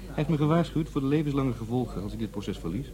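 An actor playing a defiant, aloof young left-wing student in a Netherlands Dutch radio play. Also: male voice, mid-range voice, lively intonation, fast, crowd noise, lightly acted. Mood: insistent. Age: young adult.

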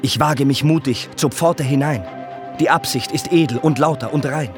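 An earnest young hero of a radio play, played by a man. He declaims rhymed verse fervently in a voice a little higher than most men's, over clear music. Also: moderate pace, natural voice. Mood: resolute. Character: earnest, brave, ardent young prince. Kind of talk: German radio drama acting in rhymed verse.

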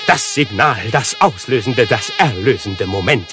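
An actor playing a pompous male artist in a radio play, declaiming dramatically with drawn-out pauses. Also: medium pitch, animated, over music, natural voice. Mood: dramatic suspense. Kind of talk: theatrical radio play monologue.

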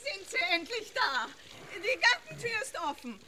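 An actress playing a haughty elderly aristocratic housekeeper in a vintage radio crime comedy, grand and fussy in manner. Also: female voice, extremely high pitch, big pitch swings, measured pace, background noise, heavily acted. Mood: fussy, bossy.

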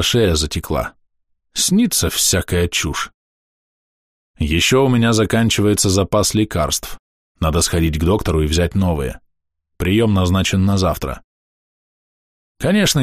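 Guy with a low voice reading a diary story, calm and plain, dry close sound. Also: measured pace, long pauses, dry recording. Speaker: audiobook narrator. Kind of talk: first-person diary narration.

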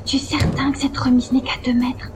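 A woman's voice: high voice, little pitch movement, fairly quick, whispered, over music, lightly acted. A woman playing an anxious, doubtful companion to a space agent in a French science-fiction audio drama. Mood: alarmed, hushed.

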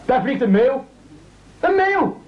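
An actor playing an eager, evasive and excitable castaway gentleman in an absurdist radio play, speaking politely. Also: very high-pitched, slow, full character voice. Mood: alarmed. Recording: dull lo-fi old radio recording.

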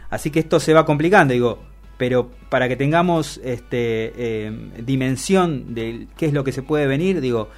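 A man's voice: mid-range voice, moderate pace. Argentine man commenting news over music. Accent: Argentine Spanish. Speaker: radio host. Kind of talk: news commentary on a radio talk show. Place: radio studio.

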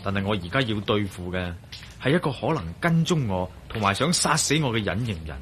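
A man acting a resolute, wary yet reassuring adventurer in a radio drama, with a Hong Kong Cantonese accent, speaking in colloquial, expressive monologue. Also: fairly low pitch, fairly quick, a little hiss or hum. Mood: wary, self-talking. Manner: colloquial, self-talking, expressive.

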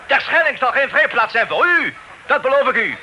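An actor playing a pompous, easily flustered deputy sheriff who talks ornately and officiously, with French words mixed in. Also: male voice, very high-pitched, sing-song, fairly quick, pushed and bright, full character voice. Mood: exasperated. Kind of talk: radio play acting. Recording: noisy old radio drama recording.

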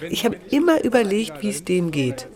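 A woman's voice: mid-range voice, very animated, brisk, lightly acted. An actress playing a chatty, anxious young woman in a naturalistic radio drama, talking in a rambling, colloquial, self-deprecating way. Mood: animated confession. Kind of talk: naturalistic improvised-sounding radio drama dialogue. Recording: busy crowd chatter around voices. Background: crowd in a bar or café.

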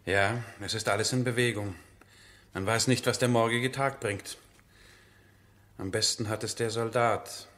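An actor playing an enthusiastic, impulsive, amiable gentleman landowner in a radio drama. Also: lowish voice, steady tone, measured pace, pauses often. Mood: thoughtful. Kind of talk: German radio drama acting.

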